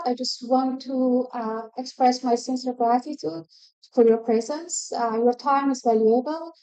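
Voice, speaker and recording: High-voiced woman giving a talk. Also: mellow, smooth, lo-fi sound. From Afghanistan. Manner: measured, with frequent hesitations. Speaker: guest speaker. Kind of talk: conference presentation. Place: event stage.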